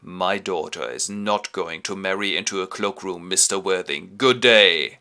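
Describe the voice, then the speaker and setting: A man's voice: sits low, measured pace, pushed and bright, smooth. A man voicing a snobbish older aristocratic woman who speaks in a commanding way. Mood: imperious. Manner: imperious, commanding.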